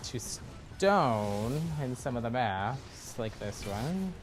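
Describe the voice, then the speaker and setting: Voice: medium pitch, sing-song, extremely slow, noisy background. A game streamer giving casual, chatty live gameplay commentary, thinking aloud while playing. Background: game music.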